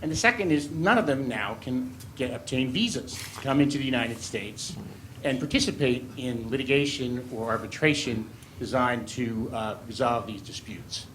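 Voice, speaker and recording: Male lawyer at a public forum, mid-pitched, halting and formal, faint room noise. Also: fairly bright tone, rough recording. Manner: halting, deliberate, legalistic. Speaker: audience questioner, lawyer. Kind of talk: formal question from the floor.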